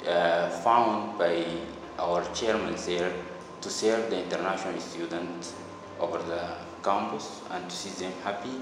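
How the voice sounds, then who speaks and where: A young man giving a formal introductory speech as a student council president, halting and pausing between short phrases. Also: low voice, steady tone, background noise. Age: young adult. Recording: echoey hall, music behind.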